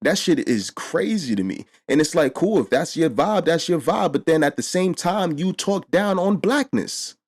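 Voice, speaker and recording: A man's voice: fairly high pitch, lively intonation, normal speed, few pauses. A man hosting a casual discussion, blunt and emphatic, with frequent swearing. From Mexico. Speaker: host.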